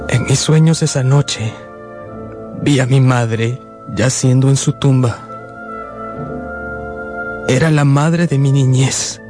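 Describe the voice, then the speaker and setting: A man's voice: mid-range voice, natural voice. An actor playing a sensitive young man narrating his unhappy boyhood in a radio drama. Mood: grieving. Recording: music bed behind the voice.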